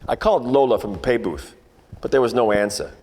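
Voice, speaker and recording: An actor playing a world-weary, wisecracking 1940s private detective, narrating in hardboiled radio-play style with deadpan asides. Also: male voice, mid-range voice, a little fast, long reverb tail, a little hiss or hum, natural voice. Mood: wry. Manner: wry, colloquial, deadpan asides.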